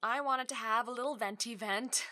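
A bright, clear-toned woman in her thirties, born and raised in Australia, talks through a solo podcast episode. She speaks in an easy, candid way, tossing in asides and quick laughs as she goes. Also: on the high side. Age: 30s. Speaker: podcast host. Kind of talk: casual solo podcast monologue.

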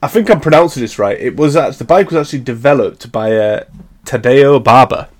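A man's voice: medium pitch, expressive, fairly quick. A man in his early thirties talks casually as a co-host. He rambles, leans on fillers and often laughs at his own jokes. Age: 30s. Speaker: podcast co-host. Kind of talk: casual chat.

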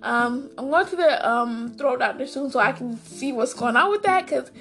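Raspy-voiced woman hosting a chatty podcast. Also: sits high, expressive, moderate pace, fairly bright tone, faint music behind. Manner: chatty and enthusiastic, addresses listeners as "guys". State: hoarse. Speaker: podcast host. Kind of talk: casual solo podcast chat.

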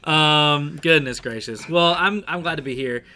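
Bright-voiced, laid-back male co-host. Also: on the high side, a little slow. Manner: laid-back, laughs easily. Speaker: podcast co-host. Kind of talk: casual podcast conversation. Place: sitting close to the host in the same room.

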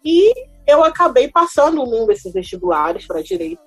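A woman in her thirties, slightly high-voiced, tells her personal story as a podcast guest. She speaks thoughtfully, pausing and restarting often. The sound is very dry and close, with faint music underneath. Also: very animated, vocal fry, no room sound, rough recording. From Salvador, Bahia, Brazil. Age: 30s. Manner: reflective, hesitant with many fillers and restarts. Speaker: guest lawyer.

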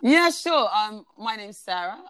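A woman's voice: mid-range voice, big pitch swings, unhurried, a bit bright. A woman, telling her story as a podcast guest in a chatty way, with many fillers and restarts. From Uganda. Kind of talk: casual conversational storytelling.

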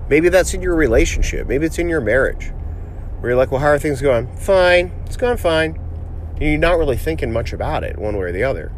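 A man's voice: on the high side, lively intonation. A middle-aged man speaks with a congested, sniffly voice, nasal from a cold, as he hosts his own podcast. His talk is reflective and encouraging, dotted with ums and restarts. Faint street and car noise sits under the voice. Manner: reflective, motivational, halting with fillers and restarts. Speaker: podcast host, physician. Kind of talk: casual solo podcast monologue.

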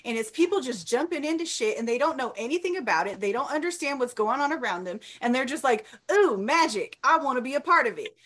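A woman's voice: high voice, expressive, fast, hardly any pauses, a bit bright. A woman co-hosting a casual conversation, speaking emphatically and mimicking others mockingly.

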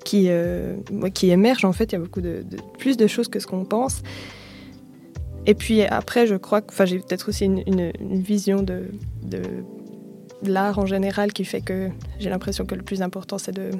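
A young woman, a singer-songwriter answering interview questions in a hesitant, soft-spoken way with many fillers. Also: medium pitch, steady tone, moderate pace, creaky, faint music behind. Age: young adult.

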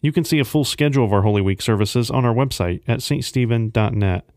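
A man's voice: mid-range voice, fast talker, darkish tone, dry recording, clean studio sound. A man serving as host of a devotional podcast, reading a scripted announcement.

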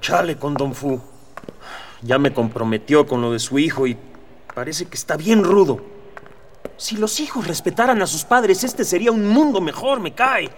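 A man's voice: on the high side, expressive, normal speed, slight background noise, natural voice. A man playing a streetwise, wary Mexican space adventurer in a radio drama, speaking in colloquial mutters. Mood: wary musing.

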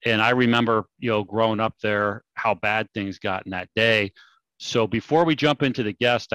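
A man's voice: on the low side, sharp tone, no room sound, low-quality recording. A man in his forties from California, hosting a podcast and explaining things in a casual, conversational way. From California, United States. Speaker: podcast host.

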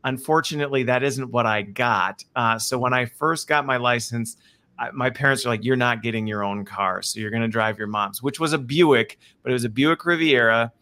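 A man's voice: mid-range voice, moderate pace, bright, forceful tone. Upbeat male webinar host. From American Midwest. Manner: upbeat, repeats okay and all right often. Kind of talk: casual live webinar chat. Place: video call.

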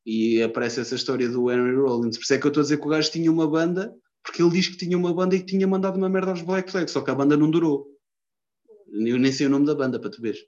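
Um homem de Lisboa, com sotaque lisboeta, numa conversa descontraída, cheia de calão e palavrões e a divagar. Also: male voice, fairly high pitch, fairly even intonation, racing, darkish tone. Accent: Lisbon Portuguese. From Lisbon, Portugal. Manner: slangy, swears a lot, rambling. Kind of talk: casual chat. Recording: dull lo-fi sound.

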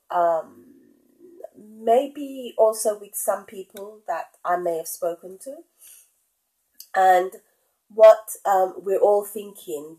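A middle-aged woman hosting her own podcast, talking casually with a head cold and many pauses and ums. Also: lowish voice, warm tone, rough recording. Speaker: podcast host. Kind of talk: casual solo podcast talk.

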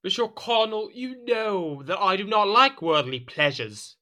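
A man's voice: sits high, lively intonation, measured pace, bright, forceful tone, heavily acted. An actor playing a proud, petulant French king in a period audio drama, with a theatrical, formal manner. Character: proud, petulant French king, suspicious yet conciliatory husband.

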